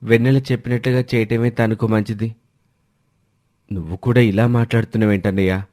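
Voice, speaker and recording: A man's voice: lowish voice, steady tone, brisk, mellow, lightly acted. A man playing a concerned, patient husband and brother who reasons with relatives in a family story. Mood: grave, persuading. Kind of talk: dramatized family story dialogue.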